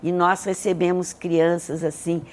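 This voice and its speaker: Earnest, slightly low-voiced older Brazilian woman. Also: little pitch movement, unhurried. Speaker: interview guest, hospital leader. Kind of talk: reflective personal storytelling in an interview.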